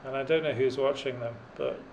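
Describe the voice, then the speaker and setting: A man's voice: mid-range voice, steady tone, fairly quick, darkish tone, a little hiss or hum, rough recording. A middle-aged man talking in a dry, self-deprecating way with many fillers while cycling up a mountain road. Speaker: cycling vlogger. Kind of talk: rambling self-deprecating commentary while riding.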